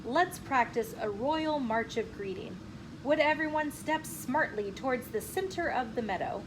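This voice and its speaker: A storybook nobleman acted out by a woman reader, commanding and helpful, declaims his orders. The pitch is fairly high for the part. Background noise is clearly present. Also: lively intonation, unhurried. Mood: organizing. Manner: calling out, declamatory. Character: commanding, helpful male nobleman organizing a crowd. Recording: clearly noisy room with ambient sound.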